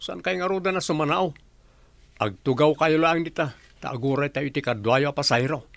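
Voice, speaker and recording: A man playing a gruff, tight-fisted husband and tricycle driver in a radio drama, blunt and bossy, with an Ilocano accent. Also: a little high, normal speed, dry recording. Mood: stern, grudging.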